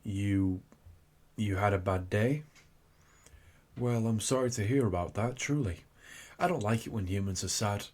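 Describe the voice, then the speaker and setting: A husky incubus demon, acted out by a man, speaking in a fairly low voice. He is suave and theatrical, a teasing seducer with a tender side, performed as an intimate ASMR roleplay character. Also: measured pace, long pauses, darkish tone. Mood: apologetic, sympathetic. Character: seductive, cocky male incubus demon turning flustered and tender.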